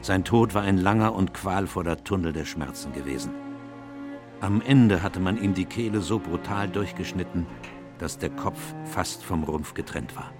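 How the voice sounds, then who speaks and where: An actor playing a grave, observant gentleman who narrates a detective radio play in a measured, solemn way. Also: low voice, over music, natural voice. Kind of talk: scripted dramatic narration.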